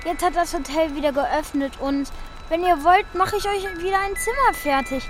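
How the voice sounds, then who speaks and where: A woman's voice: very high voice, moderate pace, a little hiss or hum. A woman playing an eager, caring child who helps out in a hotel, in a German children's radio drama with a natural, childlike delivery. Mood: eager, helpful.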